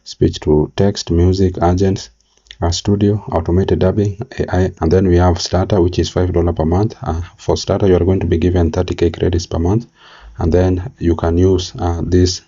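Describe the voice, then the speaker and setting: Male tutorial presenter, very low and monotone, halting step-by-step delivery, slightly muffled recording. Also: slightly rough. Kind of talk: screen-recorded software tutorial narration. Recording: slightly dull, lo-fi mic.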